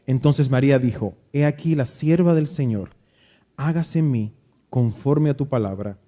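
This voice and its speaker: A man with a Dominican accent, reading scripture aloud and then telling a reflective story about growing up, pausing and restarting now and then. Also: mid-range voice, mellow. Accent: Dominican Spanish. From Dominican Republic. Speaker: preacher. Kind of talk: Bible reading and devotional talk.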